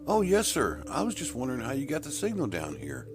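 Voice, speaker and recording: A man's voice: medium pitch, wildly swooping pitch, a little fast, music in the background, voice pushed up. A man voicing an anxious, polite schoolboy in a narrated story, earnest and deferential. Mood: polite, weary.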